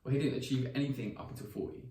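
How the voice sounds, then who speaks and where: A man's voice: medium pitch, very fast, mellow, a little hiss or hum. A man of twenty, co-hosting a podcast in casual chat, rambling with many restarts and fillers. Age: 20s. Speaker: podcast co-host. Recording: echoey room, mic at a distance, faint ambience.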